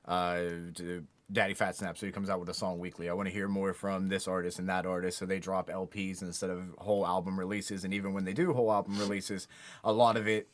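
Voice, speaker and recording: A man's voice: low voice, little pitch movement, quick pace, no echo. A man co-hosting a podcast, chatting casually about music. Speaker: podcast co-host.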